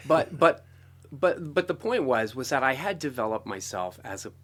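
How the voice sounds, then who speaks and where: A middle-aged American man telling his story casually as an interview guest, talkative and animated. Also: medium pitch, moderate pace. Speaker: interview guest, composer and guitarist.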